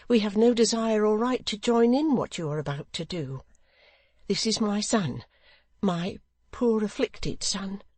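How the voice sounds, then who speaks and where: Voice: medium pitch, a little mellow, no echo, voice pushed up. A reader voicing a poor, anxious widow, an earnest and protective mother who pleads. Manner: earnest, imploring supplication.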